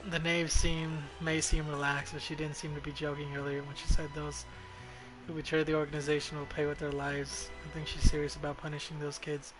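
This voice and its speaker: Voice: medium pitch, monotone, brisk, music in the background, rough recording. A streamer giving casual, crude and irreverent game commentary, sometimes reading the dialogue aloud.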